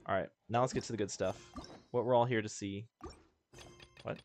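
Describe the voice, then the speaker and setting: A man's voice: lowish voice, fairly even intonation, normal speed, a little mellow, slight background noise. A man livestreaming a video game in casual, slangy, joking and self-deprecating chat. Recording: game music behind the voice. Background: video game music.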